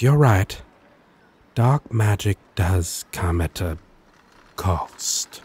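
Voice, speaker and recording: A male actor voices a lovesick demon in an intimate ASMR roleplay. His low voice moves very slowly and hesitantly, yet swings expressively as he flirts and puts himself down. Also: a little mellow, slightly rough, heavily acted. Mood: guarded, hesitant. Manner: halting, hesitant, with long pauses.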